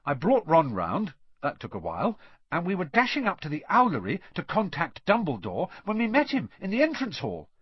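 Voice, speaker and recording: A man voicing an anxious, earnest and excitable schoolgirl witch in an audiobook, speaking emphatically. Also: a little high, moderate pace, slightly rough, no room sound, highs cut off, voice pushed up, exaggerated voice. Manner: breathlessly emphatic, indignant. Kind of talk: audiobook character voice.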